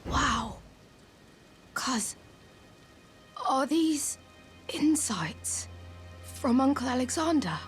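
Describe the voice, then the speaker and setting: A woman voicing a small, earnest young living jar in a video game, timid but determined, with a soft, hesitant delivery. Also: high-pitched, lively intonation, very slow, pauses often, faint music behind, full character voice. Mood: tender, resolute. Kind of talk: video game character dialogue.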